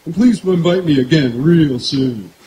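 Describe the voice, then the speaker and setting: An actor playing a polite gentleman caller in an old-time radio comedy, recorded from a distance outdoors. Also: on the high side, measured pace, close to an everyday voice. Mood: courteous. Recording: distant camera outdoors, faint noise.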